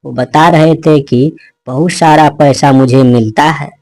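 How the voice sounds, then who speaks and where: A man's voice: a little high, steady tone, a little slow, vocal fry, a little mellow, dry recording, clean studio sound. A man voicing an evasive, boastful male parrot in a children's cartoon, defensive in manner. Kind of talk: Hindi children's cartoon dialogue.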